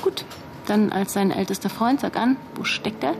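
An actress playing a curt, sharp-tongued young businesswoman in a naturalistic radio drama. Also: mid-range voice, moderate pace, vocal fry, loud music behind the voice, natural voice. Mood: bitter.

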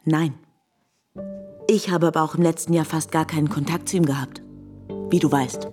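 An actress plays a cool, hostile rival with a markedly low female voice. She answers curtly and dismissively, keeping tight control. Music is clearly heard beneath the radio play. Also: steady tone, normal speed, dark tone, close to an everyday voice. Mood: cold, dismissive. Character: cool, hostile woman, the heroine's curt rival. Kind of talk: radio drama dialogue.

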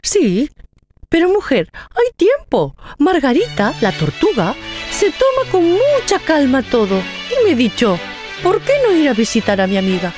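Storybook hare female actor: overconfident, breezy, high-pitched, very lively intonation. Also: unhurried, music in the background, heavily acted. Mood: unconcerned. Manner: breezy, chatty, exclaiming. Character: carefree, chatty, overconfident mother hare. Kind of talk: children's audio story acting.

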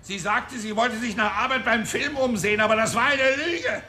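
A man's voice: very high-pitched, normal speed, pushed and bright, slight background noise, full character voice. An actor playing a cantankerous, scrawny old man who talks boastfully and crudely in a radio play. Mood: mocking. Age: older. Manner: cranky, mocking, boastful.

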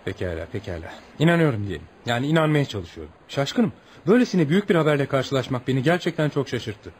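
Actor as quick-talking, curious American gentleman traveller. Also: medium pitch, sing-song, a little hiss or hum, lightly acted. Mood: curious, feigning amazement. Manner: theatrical, musing, with asides to himself. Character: polite American male traveller, curious, amused, humouring his host. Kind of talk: radio theatre acting.

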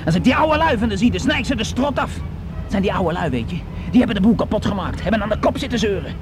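Young male drifter, touchy, provocative, slangy, rambling, high voice, swooping intonation, radio drama. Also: lively intonation, quick pace, over music. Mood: bitter, agitated. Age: young adult. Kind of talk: naturalistic radio play acting.

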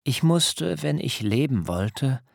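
Slow, gravelly, solemn patriarch Jakob. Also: male voice, medium pitch, very animated, over music, full character voice. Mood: solemn, insistent. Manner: solemn, measured first-person recollection. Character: Jakob, soft, dignified patriarch recalling his humiliation. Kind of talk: literary radio drama monologue.

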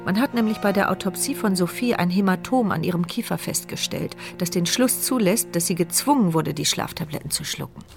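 A dry, sardonic woman thinking aloud in a radio play. Her voice is on the low side with a gravelly creak. She is paralysed and narrates her brooding inner monologue. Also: lively intonation, fairly quick, hardly any pauses, over music. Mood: sober. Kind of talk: scripted radio drama monologue.

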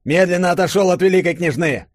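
A man's voice: on the high side, moderate pace, a little mellow, no echo, studio quality, pitched above the performer's own voice, close to an everyday voice. A man playing an imperious, patriotic military officer villain in an audiobook drama, commanding and declamatory. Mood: threatening.

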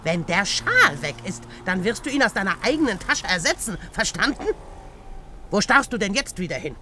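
A woman's voice: mid-range voice, sing-song, normal speed, noisy background, close to an everyday voice. An actress playing a domineering, stingy old invalid aunt in a radio play, imperious and nagging as she scolds. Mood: sharp, irritated. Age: older. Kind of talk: German radio play acting.

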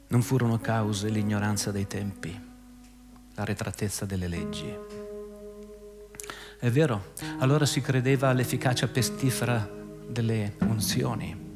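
Man in theatrical narration over guitar music. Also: medium pitch. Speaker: narrator in a radio theatre piece. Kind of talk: dramatic scripted narration, theatrical literary reading. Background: music with plucked guitar.

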